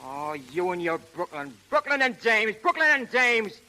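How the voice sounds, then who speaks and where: An actor playing a brash, bossy American street tough in a 1930s radio comedy, scolding in slang. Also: male voice, high-pitched, very animated, moderate pace, sharp tone, heavily acted, low-quality recording. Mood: bossy, irritated. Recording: vintage 1930s radio recording.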